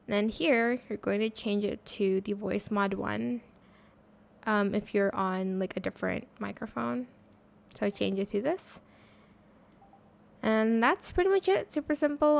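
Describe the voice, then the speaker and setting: A woman hosting a casual step-by-step software tutorial, speaking informally and a little hesitantly as she thinks aloud. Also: medium pitch, gravelly. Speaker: tutorial host.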